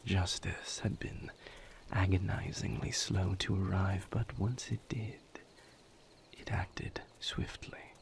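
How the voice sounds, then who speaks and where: Man acting a hushed, gravelly, weary vampire lover. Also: low-pitched, steady tone, heavily acted. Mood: somber, reflective. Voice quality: hushed, breathy whisper with gravelly creak. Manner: slow, halting, solemn. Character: weary male vampire lover confiding his painful past. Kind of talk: intimate audio roleplay monologue.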